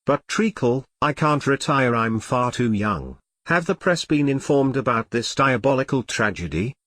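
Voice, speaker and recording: A man's voice: medium pitch, expressive, moderate pace, fairly bright tone, no room sound. A synthetic male text-to-speech voice playing a melodramatic, easily flustered computer turned elephant in an audio comedy drama. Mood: melodramatic dismay. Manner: theatrical complaining in synthetic text-to-speech delivery.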